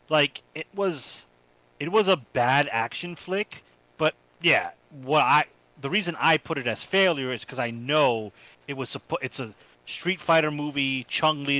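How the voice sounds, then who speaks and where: Bright-voiced man chatting casually on a podcast. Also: medium pitch, no room sound, lo-fi sound. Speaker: podcast co-host. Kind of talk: casual chat about video game movies.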